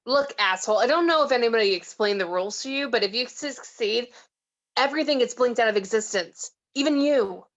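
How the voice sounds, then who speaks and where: Woman playing a defiant, higher-voiced mortal heroine. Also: lively intonation, a little fast, fairly bright tone. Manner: blunt, challenging. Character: defiant, foul-mouthed mortal woman confronting a demon. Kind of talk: amateur table reading of a film script.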